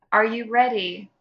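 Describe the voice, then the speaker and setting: A woman's voice: a little high, fairly bright tone. A woman who hosts a podcast, heard on a slightly lo-fi recording. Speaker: podcast host. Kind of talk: casual banter.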